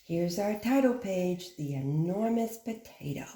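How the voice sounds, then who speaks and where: A woman reading a picture book aloud like a teacher, with simple, instructive phrasing and expressive stress on key words. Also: on the low side, unhurried. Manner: simple, instructive phrasing aimed at young children. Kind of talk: animated children's picture book read-aloud. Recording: echoey room.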